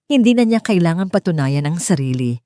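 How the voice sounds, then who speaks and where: Low-pitched, mellow Filipino woman narrating drama. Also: big pitch swings, brisk, no room sound, studio quality. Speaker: story narrator. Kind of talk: scripted dramatic narration of a romance drama story.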